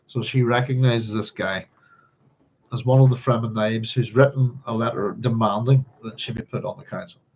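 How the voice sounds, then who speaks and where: A man's voice: medium pitch, a little mellow, breathy edge, muffled recording. A man with a Northern Irish accent hosting an informal book club, talking through a novel in a rambling, scattered way and often thinking aloud. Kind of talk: informal read-along commentary on a novel.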